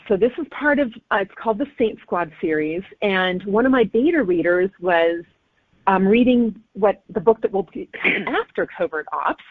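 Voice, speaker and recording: A woman's voice: a little high, no echo. Woman author on a thin phone-like line. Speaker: author guest. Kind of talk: casual interview chat.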